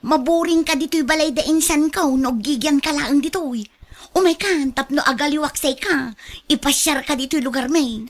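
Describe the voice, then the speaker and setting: A woman performs a coaxing, scheming young woman in a radio drama. The voice sits high, with an Ilocano accent and a wheedling manner that suits someone fond of money and gambling. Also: normal speed. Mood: breezy, coaxing.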